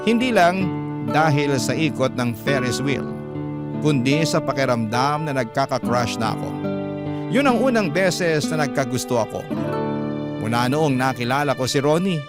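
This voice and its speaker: Male radio narrator over loud music. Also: mid-range voice, normal speed. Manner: steady, storytelling. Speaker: narrator reading a letter.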